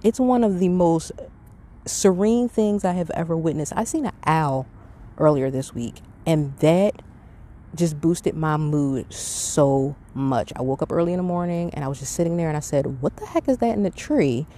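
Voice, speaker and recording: A woman's voice: deep voice, expressive, normal speed, warm tone. A middle-aged woman hosting a podcast, talking in a casual, reflective monologue with an earnest, conversational and emphatic manner. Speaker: podcast host.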